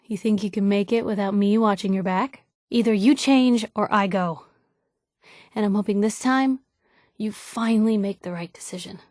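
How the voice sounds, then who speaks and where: A woman's voice: medium pitch, normal speed, darkish tone, no echo, clean studio sound, lightly acted. A woman playing a feisty, guarded young reporter, wry and loyal, in naturalistic video game dialogue with a sardonic, emphatic manner. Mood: worried. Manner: sardonic, emphatic, hesitant when vulnerable. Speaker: video game companion character.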